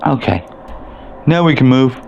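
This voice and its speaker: A man's voice: mid-range voice, lively intonation, a little slow, gravelly, darkish tone, muffled recording. A man giving casual, chatty, rambling commentary as he plays through a video game. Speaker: gaming video host and reviewer. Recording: faint background game noise. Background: video game ambience.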